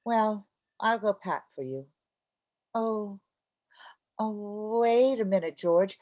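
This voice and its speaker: A woman playing an excitable, chatty, scheming housewife in an old-time radio comedy, with a theatrical manner. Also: mid-range voice, muffled recording, lightly acted. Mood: brisk. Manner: theatrical, quick to jump to conclusions.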